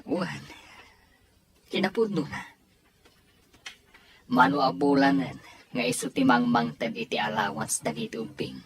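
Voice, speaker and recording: A woman playing a soft-hearted, self-sacrificing grown man, a devoted uncle, in an audio story drama. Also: a bass voice, lively intonation, measured pace, long pauses, slightly rough, full character voice. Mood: frank.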